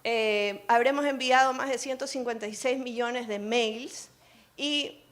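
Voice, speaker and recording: Ecuadorian lady with a chilean tinge, high voice, chatty conference talk, middle-aged. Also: measured pace, a bit bright. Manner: engages the audience with questions, conversational. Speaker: session host and speaker. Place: conference room.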